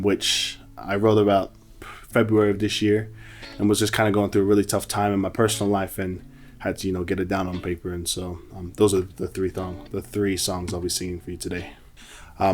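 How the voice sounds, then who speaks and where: Relaxed, hesitant young man, somewhat low. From New Zealand. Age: 20s. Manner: relaxed, hesitant, many ums and likes. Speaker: musician and producer. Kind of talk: casual self-introduction.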